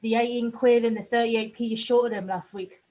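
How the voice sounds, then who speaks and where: A woman's voice: a little high, fairly even intonation, moderate pace, darkish tone, close to an everyday voice. A woman playing a tough young prostitute in a dystopian London stage play reading, blunt, taunting and streetwise. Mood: demanding.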